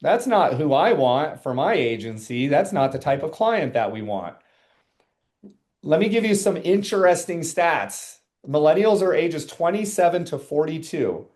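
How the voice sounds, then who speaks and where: A man in his forties presenting statistics in a lively webinar and bantering with co-hosts, asking the audience questions. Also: fairly high pitch, a little slow. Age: 40s. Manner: energetic, asks the audience questions.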